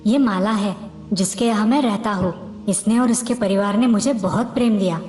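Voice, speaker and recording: A woman voicing a gentle young male magical pigeon in a children's cartoon story. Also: mid-range voice, moderate pace, lightly acted. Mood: earnest. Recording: long reverb, background music.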